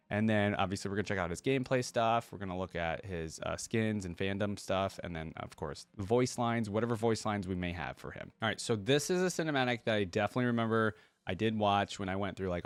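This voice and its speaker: A man's voice: lowish voice, clean tone, no room sound. A man hosting a reaction video, reading stories aloud and commenting informally, with exclamations and rephrasing as he goes.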